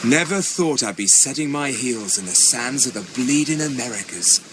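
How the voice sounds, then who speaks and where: An actor playing a gruff, greedy soldier sidekick in a video game cutscene, with an earthy, blunt manner. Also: male voice, medium pitch, lively intonation, normal speed, background noise, natural voice.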